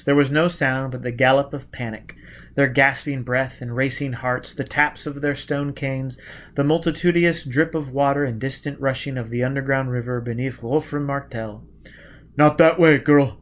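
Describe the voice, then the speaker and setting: A man's voice: medium pitch, steady tone, normal speed, a bit bright, a little hiss or hum. Male audiobook reader, middle register, for dramatic narration of adventure fiction. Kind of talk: scripted dramatic narration of a novel.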